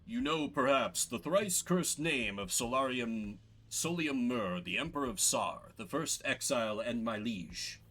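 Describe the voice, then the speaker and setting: Game scribe voiced by a man: grave, reverent, oratorical, bright tone with wide swings, soft music behind. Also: mid-range voice, animated, measured pace. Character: solemn formal scribe reciting an exiled emperor's tale. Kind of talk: storybook-like game text read in character.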